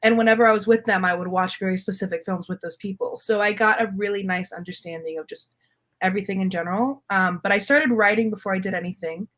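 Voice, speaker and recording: A young woman from Miami, talking freely as a guest about her life and work. Her voice sits in a middle register, and she tells her stories with lively, easy laughter. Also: mid-range voice. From Miami, Florida. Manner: animated, laughs often, storytelling. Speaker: guest filmmaker. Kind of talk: casual interview chat.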